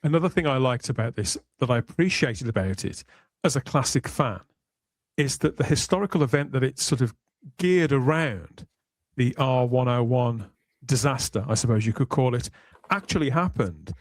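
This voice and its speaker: A man hosting a podcast panel discussion, talking in a measured, thoughtful way. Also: mid-range voice, lively intonation, dry recording. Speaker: podcast host. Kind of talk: panel discussion of a sci-fi series.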